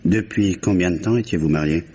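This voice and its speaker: A man's voice: deep voice, fairly quick, darkish tone, lightly acted. An actor playing a stern, insistent policeman with a curt, controlled, probing manner, in old French radio mystery style. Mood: curt, authoritative. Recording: dull, noisy vintage radio recording.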